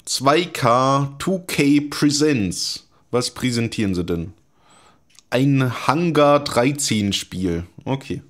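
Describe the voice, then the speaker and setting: Ein Mann kommentiert locker und mit trockenen Sprüchen ein Videospiel als Let's-Play-Host. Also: male voice, medium pitch, animated, slow pace. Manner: chatty, wry asides while reading game menus. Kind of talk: casual gameplay commentary. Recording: talking over game music.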